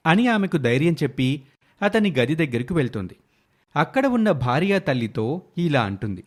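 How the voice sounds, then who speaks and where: Man narrating, slightly high-pitched voice. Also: sing-song, normal speed. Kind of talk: scripted moral story narration.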